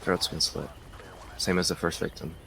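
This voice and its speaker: A man's voice: sits low, little pitch movement, normal speed, gravelly, pushed and bright, full character voice. An actor playing an earnest, practical male police detective working a murder case. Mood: focused. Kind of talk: audio drama dialogue.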